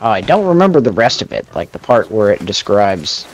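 A man talks casually through a gaming session, his pitch swinging in a very animated way. There is faint noise and clicking behind his voice. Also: fairly quick. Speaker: gamer playing co-op with friends.

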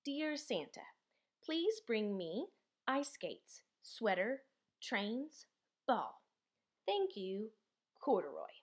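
A thoughtful young storybook teddy bear , voiced by a woman reader, earnest and kindly. The voice is fairly high and lilting, with each word set down slowly and carefully. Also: sing-song, exaggerated voice.

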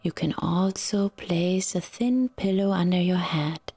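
A woman guiding a relaxation meditation in a soft, soothing, half-whispered voice with long pauses. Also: fairly low pitch, slightly rough, faint music behind. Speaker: yoga nidra guide.

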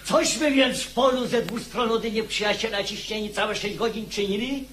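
An actor playing a stern, insistent knight in a radio drama, speaking formal archaic lines with demanding force. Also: male voice, high voice, normal speed, a bit bright, a little hiss or hum. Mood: indignant. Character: stern, insistent knight challenging his commander.

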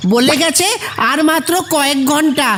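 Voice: sits high, moderate pace, natural voice. A performer voicing an alarmed village messenger in a comic cartoon. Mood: urgent.

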